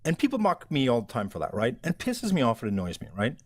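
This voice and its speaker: An Irish man in a casual live-stream chat, earnest and argumentative, explaining his view at length. His voice sits in an ordinary middle pitch. The sound is dry and close, with no room echo. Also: huge pitch swings, fast talker. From Ireland. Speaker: livestream host.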